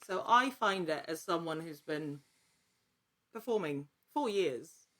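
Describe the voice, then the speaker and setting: A woman speaking as a guest in a casual interview. Her voice sits a little low. She talks with enthusiasm and emphasis, in an actor's conversational way. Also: animated, slow pace, fairly bright tone.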